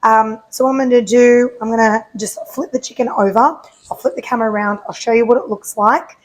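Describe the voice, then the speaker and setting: A woman with a croaky voice hosting a home cooking show, chatting casually and answering her own questions as she cooks. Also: fairly high pitch, a little fast, slight background noise, rough recording. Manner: chatty, asks herself questions and answers them.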